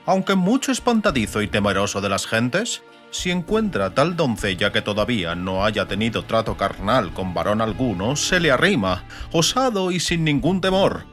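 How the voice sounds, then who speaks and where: A man's voice: medium pitch, fairly bright tone, pitched above the performer's own voice. Man acting a solemn, raised-voice old-time chronicler. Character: solemn archaic chronicler reading an old bestiary.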